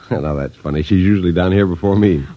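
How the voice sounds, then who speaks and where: An actor playing a gruff but genial military father, folksy and a bit blustery, in a 1950s radio drama. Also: low-pitched, a little fast, lightly acted. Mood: breezy. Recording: muffled old radio drama recording.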